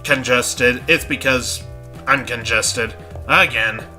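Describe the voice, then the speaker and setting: Let's play host, male, congested, offhand asides, sharp bright tone. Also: medium pitch, slow, soft background music. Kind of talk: casual game commentary.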